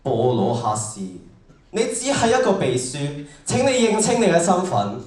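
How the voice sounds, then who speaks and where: A man's voice: fairly high pitch, very animated, moderate pace, darkish tone. A man playing a stern, officious judge in heaven's court in a radio drama. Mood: stern, warning. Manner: officious, authoritative courtroom delivery. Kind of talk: stage radio drama acting. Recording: echoey hall, distant mic, music.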